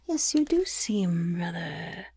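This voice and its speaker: A woman voicing a friendly female goblin rogue in an ASMR fantasy roleplay, with a breathy, raspy whisper and gently encouraging, genteel phrasing. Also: on the low side, big pitch swings, slow, no echo, exaggerated voice. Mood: pleased, encouraging.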